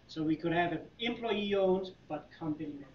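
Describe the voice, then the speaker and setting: A middle-aged man with a Danish accent, giving a relaxed, chatty tech talk with many fillers and restarts. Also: a little high, moderate pace, slight background noise. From Denmark. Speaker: conference presenter, consultant. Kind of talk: user group tech presentation. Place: meeting room. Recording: echoey room, distant mic, dull lo-fi sound.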